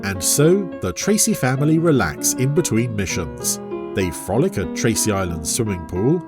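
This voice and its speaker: A man narrating a scripted adventure story in a dramatic storytelling style. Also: medium pitch. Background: music and vehicle sound effects.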